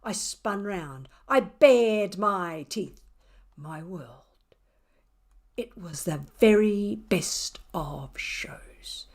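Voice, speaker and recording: Woman voicing a slow, smug, theatrical storybook cat. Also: mid-range voice, expressive, heavily acted. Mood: boastful, theatrical. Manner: theatrical, dramatic pauses on key words. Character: mischievous, sarcastic, self-satisfied male pet cat narrating his exploits.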